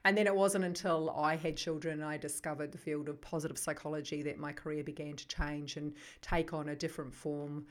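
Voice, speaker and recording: A woman's voice: sits low, level tone, a little fast, few pauses. A middle-aged woman with a New Zealand accent, a psychotherapist talking as a podcast guest, thoughtful and searching for her words. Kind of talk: conversational podcast interview.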